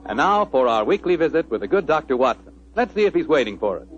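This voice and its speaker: A man with an American accent working as a radio announcer, delivering a folksy 1940s sponsor pitch. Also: big pitch swings, quick pace, muffled recording, over loud music.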